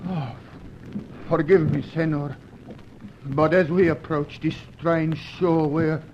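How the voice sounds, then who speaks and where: A fretful, superstitious sidekick, all formal courtesy and frayed nerves in an old radio adventure serial. The voice sits fairly high. The recording sounds dull and carries a faint hiss. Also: male voice, fairly even intonation, slow pace. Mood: nervous. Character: jittery superstitious male Latin American companion. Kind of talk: 1950s radio serial acting.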